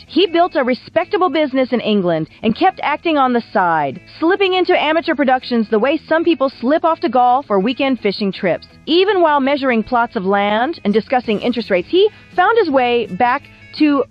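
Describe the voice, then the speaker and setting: A woman's voice: high voice, big pitch swings, normal speed, lo-fi sound. A woman delivering scripted documentary narration in a wry storytelling manner. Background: background music.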